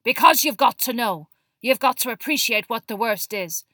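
A woman voicing a gruff old male teacher in a storybook, with a forceful, barking, growling delivery. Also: a little high, lively intonation, normal speed, dry recording, voice pushed up. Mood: fierce, roaring.

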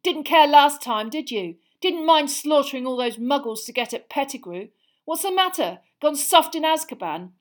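Angry storybook boy of thirteen, played by a woman, raised pitch, loud, forceful, reckless. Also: lively intonation, normal speed. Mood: bitter fury. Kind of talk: dramatised audiobook character voice.

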